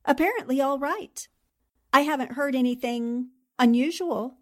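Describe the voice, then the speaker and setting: Woman acting a high-voiced, sensible lady sleuth. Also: moderate pace, a bit bright. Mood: composed, curious. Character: sensible, composed female newspaper columnist turned sleuth. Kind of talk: radio drama acting.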